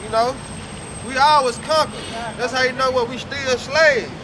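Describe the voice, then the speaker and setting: A man's voice: very high voice, moderate pace. A man street preaching outdoors in an emphatic, call-and-response manner. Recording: outdoor street recording with traffic and crowd.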